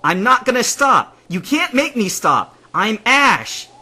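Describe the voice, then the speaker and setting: A man voicing a frantic, stubborn young boy turned psychic creature in a story, yelling in defiant desperation. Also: extremely high pitch, sing-song, slow, husky, pitched above the performer's own voice, heavily acted.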